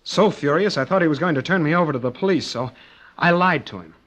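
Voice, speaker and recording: An actor playing a guilty, desperate young nephew under suspicion in an old-time radio drama, hesitant and defensive. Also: male voice, on the high side, animated, quick pace, fairly bright tone. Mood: rueful. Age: young adult.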